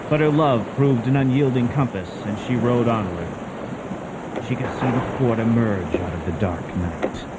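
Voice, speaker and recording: An actor playing a grave storyteller, narrating a suspense tale for radio drama in a theatrical, deliberate manner. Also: male voice, mid-range voice, heavy background noise, lightly acted. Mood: tense, dramatic. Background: music.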